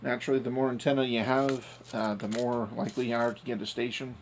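A man gives a casual, hands-on product review in an ordinary mid-pitched voice. He talks informally, like the host of a homemade unboxing video, and can be a bit crude. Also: flat delivery, fast, a little hiss or hum. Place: small metal trailer, then outdoors in snowy yard.

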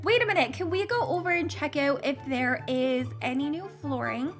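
A woman's voice: high-pitched, normal speed, soft background music. A young woman hosting a gaming channel, commenting casually with gushing gasps and giggles while losing her voice. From Canada.

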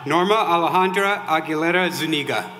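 A man's voice: fairly high pitch, a little fast. A man formally reading out graduates' names as a commencement announcer. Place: large auditorium stage at a graduation. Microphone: podium microphone. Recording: loud crowd noise over podium speech. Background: loud crowd and noise.

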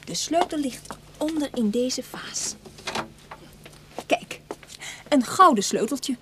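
Bold teasing daughter in a radio play, highish lively voice, bit of noise behind. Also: female voice, slow. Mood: teasing, coaxing. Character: bold, teasing stepdaughter showing off treasures. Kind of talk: radio drama acting.

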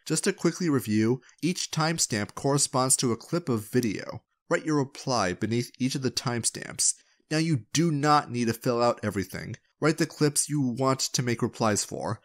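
A man's voice: medium pitch, animated, moderate pace. Playful man narrating for his channel. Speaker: video creator and channel host. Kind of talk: scripted narration to viewers.

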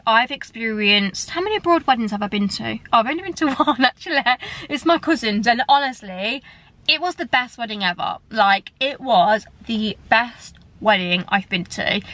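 Young woman rambling away to her camera in the car, kinda high voice, lots of "like". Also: pushed and bright, poor audio. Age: young adult. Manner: chatty and rambling, lots of "like" and "honestly". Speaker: vlogger. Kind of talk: casual chat to camera. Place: parked car interior. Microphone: camera close up, held at arm's length.

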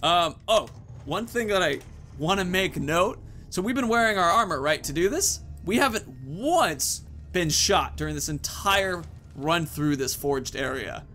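A man's voice: high-pitched, lively intonation, a little slow, bright, forceful tone, soft background music. A man in his thirties giving casual let's-play commentary, rambling informally as he thinks aloud into a headset. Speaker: gaming video host.

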